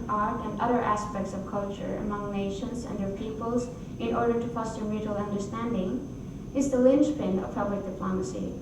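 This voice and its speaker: A young woman delivers a formal conference paper in a measured, hesitant way. Her mid-pitched voice comes from far off the mic in a very reverberant hall, with clear noise around it. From Norway. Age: 20s. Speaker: academic conference presenter, graduate student. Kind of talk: formal academic presentation.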